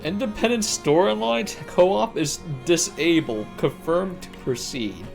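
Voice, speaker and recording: Gravelly, animated man streaming a game. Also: on the high side, measured pace, soft background music. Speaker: live streamer playing a video game. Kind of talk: casual gameplay commentary.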